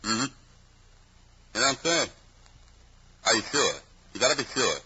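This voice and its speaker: An actor playing a weary, gruff homicide inspector, terse and dry, in old radio mystery style. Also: male voice, medium pitch, measured pace, bright, forceful tone, exaggerated voice. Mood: dry, businesslike. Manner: terse, dry, matter-of-fact.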